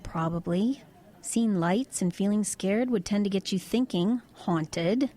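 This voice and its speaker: A woman's voice: mid-range voice, a little slow, mellow, close to an everyday voice. A woman playing a level-headed truck driver in an eerie audio drama, talking in an everyday conversational way. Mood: intrigued, thoughtful. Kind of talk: audio drama dialogue and narration.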